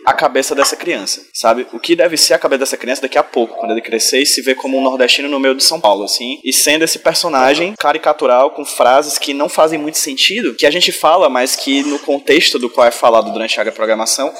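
A man's voice: mid-range voice, fast talker, few pauses, a bit bright, slight background noise. A man from Ceará, talking passionately in a casual group podcast conversation, with many restarts and tag questions. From Northeast Brazil, Ceará. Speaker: podcast guest, comics creator.

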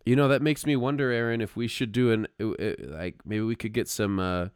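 A man with a deep, clear voice co-hosts a podcast, recorded dry and close. He explains music in a casual way, now and then halting or doubling a word as he works out the thought. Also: dry recording. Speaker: podcast co-host. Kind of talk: casual explanatory talk about music arranging.

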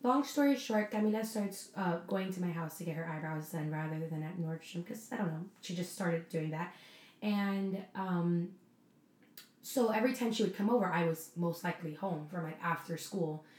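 A young woman in her early twenties talks in a relaxed, rambling way and laughs at herself as she goes. Her voice sits a little low for a woman. The room gives it a noticeable echo. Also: normal speed. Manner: giggly, rambling, self-deprecating. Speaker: podcast co-host. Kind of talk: casual chat. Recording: echoey room.

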